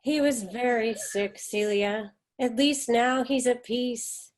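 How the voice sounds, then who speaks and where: A woman's voice: a little high, steady tone, slow. A woman playing a stoic, controlling middle-aged American mother in a play reading, speaking in a firm, insistent way. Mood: somber, consoling. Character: stoic, controlling 48-year-old American mother, curt and short-tempered.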